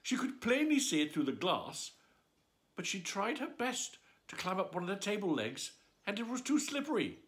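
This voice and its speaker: A man's voice: sits high, sing-song, normal speed, a little hiss or hum. A man reading a children's story aloud as a bedtime storyteller, with a theatrical, swooping delivery.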